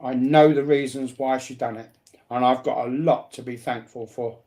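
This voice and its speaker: A man's voice: mid-range voice, steady tone, normal speed, dark tone. A man talking personally and bluntly, repeating phrases to drive his points home. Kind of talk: emphatic personal talk, partly confrontational.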